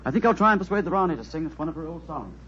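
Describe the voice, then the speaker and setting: Fast, pompous knight. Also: male voice, a little high, expressive, a bit bright, slight background noise. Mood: stuffy, suspicious. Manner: pompous, huffy. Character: stuffy, suspicious English knight. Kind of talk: 1940s radio drama acting. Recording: dull, lo-fi 1940s radio recording.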